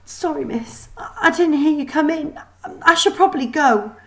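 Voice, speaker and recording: A woman's voice: sits high, lively intonation, normal speed, vocal fry, a bit bright, pitched above the performer's own voice, exaggerated voice. A woman reading a storybook as a tearful robot maid, hesitant and deferential, with colloquial servant speech. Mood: tearful, timid. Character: timid, tearful female mechanical maid, servant.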